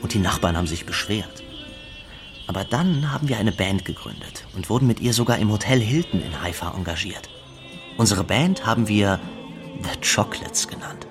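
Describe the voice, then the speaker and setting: An actor playing a singer's proud younger brother in a radio drama, telling memories in a plain, conversational way. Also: male voice, fairly low pitch, lively intonation, normal speed, music in the background. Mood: fond, proud.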